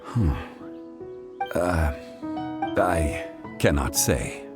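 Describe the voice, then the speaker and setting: An actor playing an ancient, dignified god in a video game, speaking in a measured, formal and solemn manner. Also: male voice, a bass voice, lively intonation, heavily acted. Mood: regretful, firm. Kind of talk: video game character acting. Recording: music playing behind the voice.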